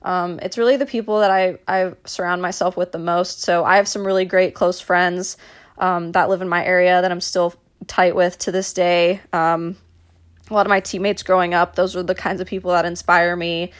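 A woman's voice: on the low side, level tone, normal speed. A young woman from Dallas, hosting a casual solo podcast and talking in a chatty way, with lots of ums and restarts. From Dallas, Texas. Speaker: podcast host, mental performance coach.

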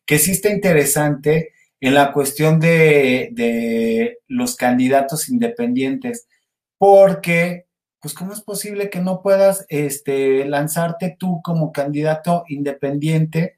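A man's voice: on the high side, takes it slowly, a little mellow. A man with a Mexican accent hosting a casual livestream, chatting with viewers in a rambling, informal way. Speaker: livestream host. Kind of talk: casual live chat with viewers. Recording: roomy, echoey sound.

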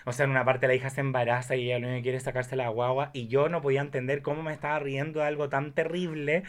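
A man's voice: mid-range voice, flat delivery, fast talker. A man with a Chilean Spanish accent chatting casually about films in a campy, effusive, exclamatory way. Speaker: podcast guest.

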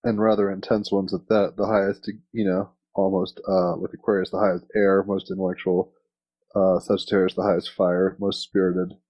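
A man with a fairly low voice hosts a casual interview. He rambles in a relaxed, slangy way. The sound is a little muffled and lo-fi. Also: normal speed. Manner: rambling, laid-back slangy phrasing. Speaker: interviewer and host.

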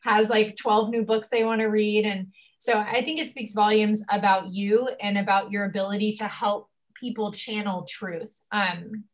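A woman's voice: mid-range voice, steady tone, normal speed, few pauses. Effusive woman host, casual talk. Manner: warm, emotional, effusive, uses fillers. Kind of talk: casual conversation, interviewing.

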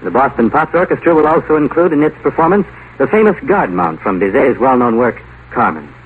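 A man's voice: medium pitch, animated, fairly quick, a little hiss or hum. An American man reading scripted announcements as a 1950s radio announcer. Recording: tinny 1950 radio broadcast.

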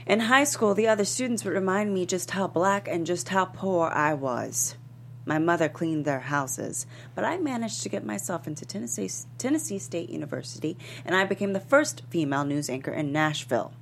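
A woman in a dramatic table read playing a famous talk-show host testifying in court, wounded but defiant, earnest and deliberate. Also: fairly low pitch, animated, gravelly. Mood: proud, defiant. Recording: noticeable background noise and hum.

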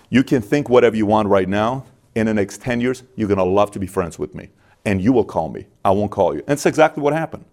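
A man from Iran in his thirties with a fairly low voice, talking candidly as a guest. He is emphatic and hammers points home by repeating them. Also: darkish tone. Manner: emphatic, repeats lines for stress. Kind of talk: candid interview talk.

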